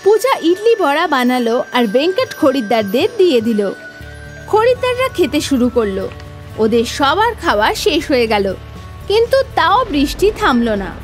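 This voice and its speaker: Woman, story narrator, very high pitch, expressive swings, scripted children's tale, faint music. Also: normal speed.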